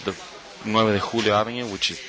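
A middle-aged man from Argentina, a guest artist in a radio interview, speaking thoughtfully with frequent pauses and fillers. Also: on the low side, fairly even intonation, crowd noise, rough recording. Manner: thoughtful, hesitant with many fillers.

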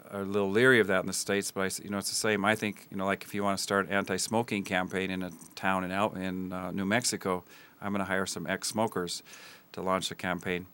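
A man's voice: low voice, a bit bright, smooth. A man who grew up in Tanzania, answering interview questions earnestly with frequent ums and uhs. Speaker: guest, school builder.

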